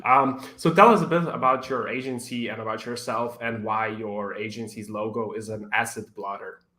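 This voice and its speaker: A man's voice: lowish voice, fairly even intonation, normal speed, sharp tone. A man hosting a weekly livestream, friendly and modest, chatting casually over a video call. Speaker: livestream host. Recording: echoey room.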